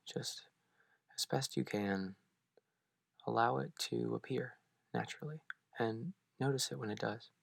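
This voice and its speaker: Man, meditation instructor, gravelly low voice, gentle unhurried delivery, long pauses, very dry sound. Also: no echo. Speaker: meditation guide. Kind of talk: guided meditation for beginners.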